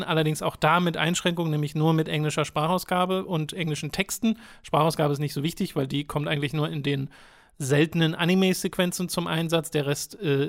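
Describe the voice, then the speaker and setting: A young man talks in a relaxed, casual way as a podcast co-host. His voice sits a little high for a man. He explains at length and often pauses on filler sounds. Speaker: podcast co-host reviewing games. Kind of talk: casual chat.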